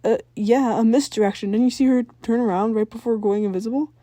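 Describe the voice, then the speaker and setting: Woman as a stammering, incredulous teenage boy, mellow tone. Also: a little high, steady tone, fast talker, no room sound, natural voice. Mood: stammering, evasive. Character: dopey, startled teenage boy, a bad liar.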